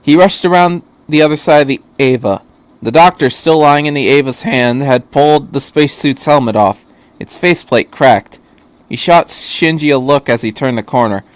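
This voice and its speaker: A man's voice: mid-range voice, moderate pace, dry recording. A man reading fan fiction aloud as an amateur narrator of a scripted story.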